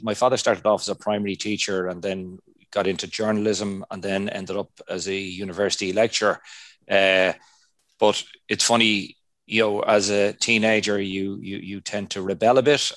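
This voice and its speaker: Deep-voiced Dublin Irish man, middle-aged. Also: no room sound. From Ireland. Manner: relaxed, rambling, many "uh" fillers. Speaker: chef and culinary lecturer. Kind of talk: interview answers, storytelling.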